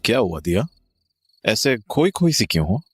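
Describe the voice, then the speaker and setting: An actor playing a reassuring, easygoing husband in an audio horror drama, conversational with some English mixed in. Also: male voice, fairly low pitch, sing-song, moderate pace, slightly rough, dry recording, studio quality. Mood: concerned.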